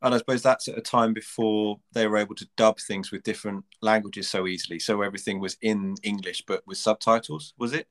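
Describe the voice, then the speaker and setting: A man's voice: lowish voice, steady tone, a little fast, few pauses. A man interviewing a guest, asking curious questions that restart and trail off. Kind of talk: casual conversational interview.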